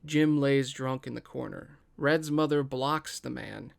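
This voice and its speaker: A man's voice: medium pitch, measured pace, vocal fry. A man hosting and narrating a scripted table read podcast.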